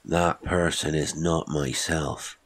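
A man's voice: very deep voice, steady tone, slow, exaggerated voice. A man voicing a small, surly man marooned for years, speaking in terse, old-fashioned phrases.

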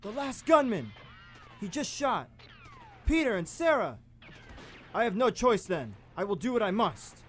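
A high, very animated young male voice acted by a man plays a cocky high-school rebel turned masked vigilante. It is theatrical radio drama with clear noise and effects behind it. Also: unhurried, long pauses. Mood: defiant. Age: young adult. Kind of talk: student live radio theatre acting. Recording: noisy live radio play with gunfire effects.

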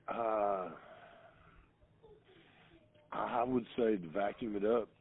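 A man with a gravelly, lowish-to-medium voice talks over a narrow telephone line. He gives casual advice in a hesitant, puzzled, plain-spoken way. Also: steady tone, slow pace. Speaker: staffer taking a phone call. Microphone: landline telephone. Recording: tinny landline phone call.

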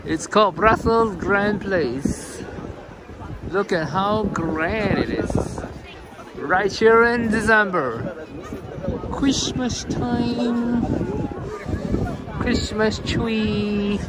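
A man's voice: high voice, very slow, long pauses. A man with a Singaporean accent giving casual, exclamatory travel commentary as he walks, repeating his phrases. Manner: exclamatory, repeats phrases, switches between English and Mandarin. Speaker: travel vlogger. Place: busy city streets, squares and arcade at night. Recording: noisy outdoor city ambience.

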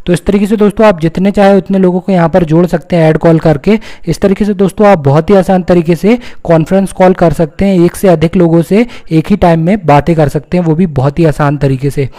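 Higher-pitched Indian man, mellow tutorial voice. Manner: explanatory, repeats points in simple words. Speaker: tutorial host. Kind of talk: step-by-step phone tutorial narration.

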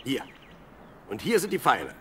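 An actor playing a modest, polite young harpist in a German radio play. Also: male voice, mid-range voice, huge pitch swings, normal speed, background noise. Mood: keen, modest.

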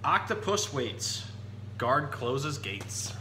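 A man's voice: mid-range voice, extremely slow. A man in his thirties presenting to children as an enthusiastic, explanatory storytime host. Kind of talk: casual educational talk for children, picture-book reading. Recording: roomy echo, steady background hum.

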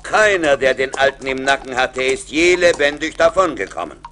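A man's voice: mid-range voice, lively intonation, moderate pace, a bit bright, slight background noise, natural voice. An actor playing a wealthy, generous nobleman and father-in-law in a German radio play, speaking in a formal, solemn, declamatory manner. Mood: astonished. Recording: vinyl record transfer, faint music.

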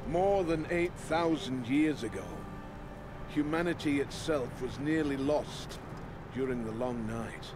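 Stern old commander, played by a man, slow and grave. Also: medium pitch, noisy background, natural voice. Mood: solemn, stern. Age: older. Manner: grave, deliberate, oratorical. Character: stern old lord commander addressing his sworn brothers. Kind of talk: solemn scripted speech in a fantasy game. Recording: game audio with clear background ambience.

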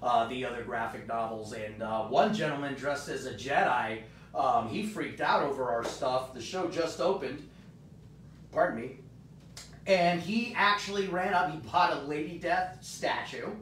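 A hoarse man hosts a live stream in upbeat, rambling chat full of fillers. His voice sits off the mic in a roomy space. A faint rumble runs underneath. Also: a little slow, a bit bright, far from the mic. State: hoarse, voice partly gone. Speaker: host of a live comic shop stream.

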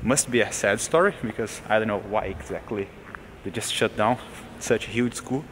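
A man's voice: lowish voice, moderate pace, a little hiss or hum, rough recording. A young man narrating a casual travel vlog to a camera held at arm's length, in loose, offhand phrasing. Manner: loose non-native phrasing, relaxed commentary. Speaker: vlogger. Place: outdoors and in an empty concrete building.

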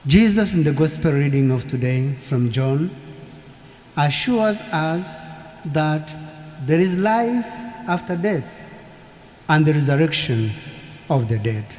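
A middle-aged priest delivers a funeral homily at a very unhurried, deliberate pace, his tone mellow and soft-edged. His voice rings out through a large cathedral with a long, lingering reverb. He speaks into a lectern microphone, leaving long pauses between phrases. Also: a little high, expressive, a little hiss or hum. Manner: slow, measured preaching with long pauses. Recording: echoey cathedral from far off.

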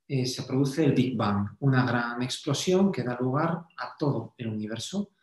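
A man presenting a slide lecture in a didactic, measured way with pauses. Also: mid-range voice, darkish tone. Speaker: Jesuit speaker giving a conference talk. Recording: roomy, echoey voice pickup.

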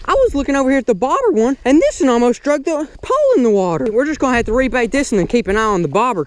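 Excitable young man, clear high voice. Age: young adult. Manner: excitable, folksy, calls viewers boys and son. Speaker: fishing vlogger. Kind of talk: casual on-camera fishing commentary. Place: outdoors at a pond bank. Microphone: action camera on body or handheld.

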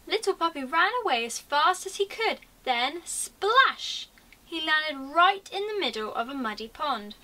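Bright, high-voiced young British woman. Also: a little slow, recorded from a distance. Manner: expressive, addressed to young children. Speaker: primary school teacher reading a story. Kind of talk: children's storybook reading. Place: small attic bedroom, indoors.

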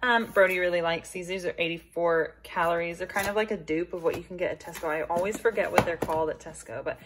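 A woman's voice: on the low side, steady tone, fast, heavy background noise. A woman in her thirties chatting casually through a grocery haul while handling items in her kitchen. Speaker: vlogger. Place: home kitchen.

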